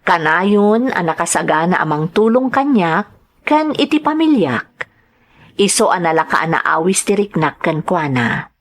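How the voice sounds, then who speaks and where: A woman's voice: fairly low pitch, very animated, a little slow. A young woman playing an earnest daughter who tells her life story in an Ilocano radio-style drama, in a conversational manner. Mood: wistful, earnest.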